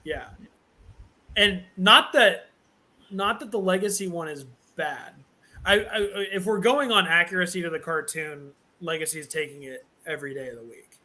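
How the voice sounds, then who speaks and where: A man hosting a live show, chatting casually and enthusiastically, sometimes halting over his words. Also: fairly high pitch, sharp tone. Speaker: host. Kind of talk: casual chat.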